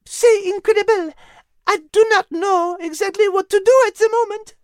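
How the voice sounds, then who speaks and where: A man voicing a refined, gentle female animal with a French accent in a children's storybook, speaking precisely and genteelly. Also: very high-pitched, steady tone, moderate pace, no echo, studio quality, voice pushed up. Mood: amazed. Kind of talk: children's storybook character reading.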